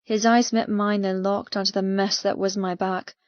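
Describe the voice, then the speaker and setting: A woman's voice: mid-range voice, little pitch movement, normal speed, no echo, lo-fi sound. Dramatic woman audiobook narrator, dry sound. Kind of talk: scripted first-person fiction narration.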